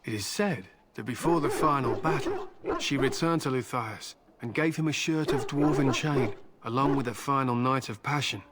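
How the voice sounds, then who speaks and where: An actor playing a proud, gruff fantasy warrior in a video game, speaking formally and solemnly. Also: male voice, medium pitch, normal speed, close to an everyday voice. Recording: loud noise behind voice.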